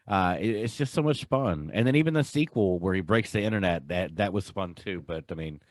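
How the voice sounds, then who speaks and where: A man's voice: deep voice, fairly quick, no room sound. A man hosting a podcast, chatting casually about pop culture. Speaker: podcast host.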